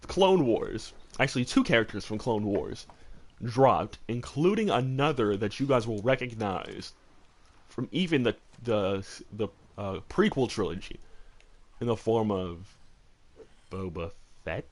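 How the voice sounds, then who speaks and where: A man chatting casually as host of a gaming discussion, rambling and emphatic, often repeating phrases for stress. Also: mid-range voice. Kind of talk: casual chat, opinionated commentary.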